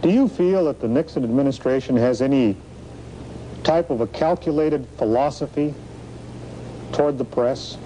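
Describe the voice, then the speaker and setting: A man asks direct, probing questions in an archival television interview. His voice is mid-pitched and very dark and mellow. A faint hiss runs under the old recording. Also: normal speed, long pauses, rough recording.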